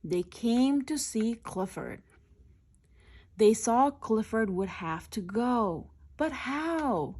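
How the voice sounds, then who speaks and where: Husky woman reading slowly to kids. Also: a little high, lively intonation, darkish tone. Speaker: storyteller reading a picture book aloud. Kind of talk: children's read-aloud with asides explaining the pictures.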